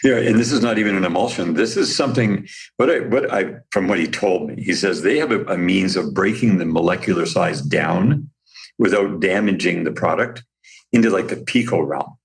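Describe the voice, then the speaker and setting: A man with a slightly raspy voice, a podcast guest who owns a supplement business, telling rambling, animated stories in casual conversation. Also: low-pitched, normal speed.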